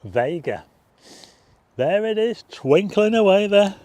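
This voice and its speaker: Croaky Northern English man, stargazing host. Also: sits high, very animated, takes it slowly, a little mellow, a little hiss or hum. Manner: chatty, reads out viewer names. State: slightly croaky. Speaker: astronomy livestream host. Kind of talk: live stargazing chat with a quiz. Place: outdoors at night.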